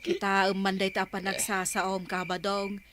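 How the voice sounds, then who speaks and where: A woman acts out a stern village shopkeeper with an Ilocano accent in a radio drama. The voice is bright and cutting at a middling pitch, admonishing and no-nonsense. Slight background noise sits behind it. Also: completely flat delivery, moderate pace, natural voice. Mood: scolding. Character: firm, scolding village storekeeper woman.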